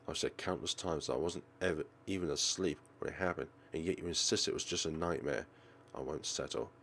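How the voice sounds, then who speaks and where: Low-voiced man reading dramatically. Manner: expressive, theatrical. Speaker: let's play host reading a visual novel aloud. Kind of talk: dramatic read-aloud narration.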